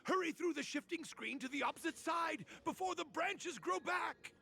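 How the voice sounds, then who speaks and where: An actor, a woman, playing an eccentric, excitable genius inventor with theatrical flair in an anime-style video game, declaiming grandly. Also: extremely high pitch, normal speed, creaky, exaggerated voice. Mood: alarmed, excited.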